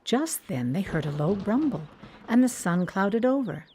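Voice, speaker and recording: A woman narrating a scripted children's storybook with expressive, clear phrasing. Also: medium pitch, unhurried, a little hiss or hum.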